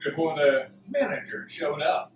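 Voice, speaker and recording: Male narrator for radio drama, fairly high voice, scripted read, off-mic with faint noise. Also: sing-song, measured pace, highs cut off. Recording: roomy, distant, faint background noise.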